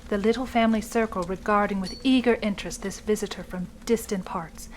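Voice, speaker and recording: A woman podcast host and story narrator with a mid-pitched voice. The recording carries faint background noise. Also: poor audio.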